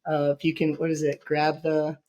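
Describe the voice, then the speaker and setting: A man hosting a podcast, hoarse and raspy from being sick, talking in a rambling, joking way with many fillers. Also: fairly high pitch, monotone, moderate pace, a little mellow, lo-fi sound. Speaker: podcast host. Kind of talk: casual podcast chat.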